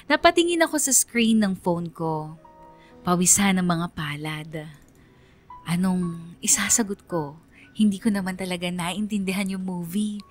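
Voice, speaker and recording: A woman's voice: lowish voice, natural voice. A woman playing a lovesick young woman who narrates her crush story in a dramatic, confiding, colloquial way for a radio drama.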